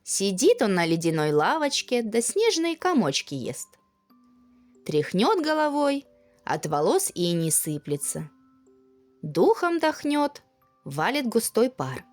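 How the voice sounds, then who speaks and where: Female storyteller for children's audio tales, expressive and folksy, lively intonation, soft music underneath. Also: a little high, takes it slowly. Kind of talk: children's fairy-tale narration.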